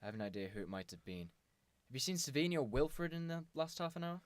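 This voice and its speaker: A man's voice: a little high, sing-song, fast talker, no echo. A man playing an earnest police inspector in an amateur radio drama, matter-of-fact and full of questions. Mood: grave, urgent. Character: earnest, businesslike male police inspector investigating murder.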